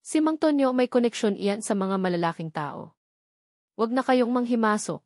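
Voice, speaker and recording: A woman's voice: on the high side, animated, moderate pace, a little mellow, no room sound, studio quality, close to an everyday voice. Wary old man, voiced by a woman narrator. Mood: wary, hushed. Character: wary, secretive old man of a poor alley. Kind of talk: storybook dialogue.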